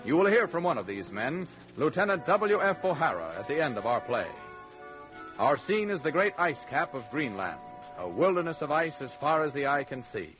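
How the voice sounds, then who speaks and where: A man's voice: fairly high pitch, sing-song, normal speed, a bit bright, soft background music. A man announcing a scripted programme in the formal style of 1940s radio. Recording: dull 1940s radio recording.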